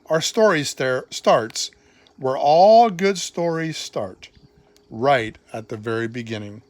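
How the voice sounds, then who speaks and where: An older man, a grandfather, reading a children's Bible storybook aloud in an expressive way, with deliberate phrasing and emphatic pauses. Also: medium pitch, no echo.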